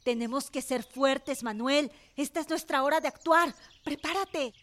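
A young Mexican lady devoted to revolution in a radio drama. Her voice is high and clearly feminine. She speaks with resolute, passionate emphasis. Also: unhurried, fairly bright tone. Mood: resolute, urgent. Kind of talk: Spanish-language radio drama acting.

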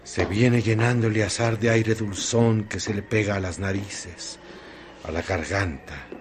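A man narrating a literary radio drama in solemn, measured phrases. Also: lowish voice, fairly even intonation, natural voice. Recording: noisy track with ambient effects.

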